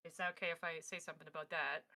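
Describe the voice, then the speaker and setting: A woman talking casually and emphatically on a livestream panel, with an encouraging, animated manner. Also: low voice, rapid-fire. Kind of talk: casual panel talk, giving advice and opinion.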